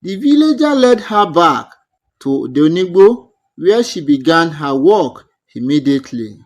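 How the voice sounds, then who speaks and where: Male storyteller, scripted folktale, very slow pace, highly varied intonation, dramatic, deliberate. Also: big pitch swings. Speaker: storyteller, narrator.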